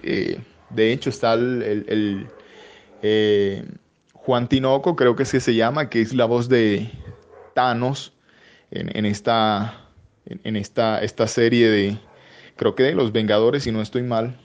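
Colombian man, voice-over artist, halting interview talk, lo-fi recording. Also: medium pitch, fairly even intonation. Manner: hesitant, many fillers and restarts. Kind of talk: interview answers.

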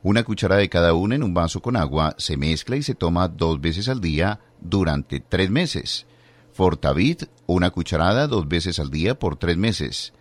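Very deep-voiced man reading a radio ad. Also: animated, normal speed, no echo. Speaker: advertisement announcer. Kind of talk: read radio product ad.

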